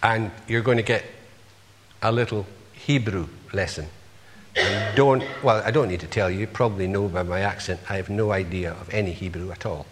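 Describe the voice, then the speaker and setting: A man's voice: lowish voice, moderate pace, big-room sound, a little hiss or hum. A man preaching a sermon, earnestly putting rhetorical questions to his congregation.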